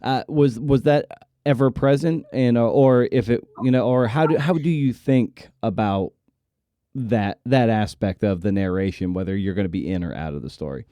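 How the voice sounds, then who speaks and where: A man's voice: medium pitch, moderate pace, warm tone, no echo. A man hosting a podcast interview, phrasing his questions thoughtfully and conversationally, feeling his way through them.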